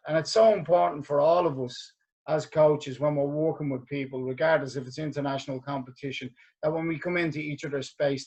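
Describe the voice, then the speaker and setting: A man's voice: fairly high pitch, a little mellow, breathy edge, low-quality recording. A man hosting a podcast interview, speaking earnestly with many stumbling restarts. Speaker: podcast host.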